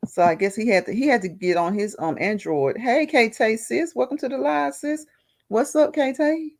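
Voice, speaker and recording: Gravelly-voiced woman hosting a live chat. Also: fairly low pitch, darkish tone. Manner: laughs often, greets viewers by name. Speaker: livestream host reading chat.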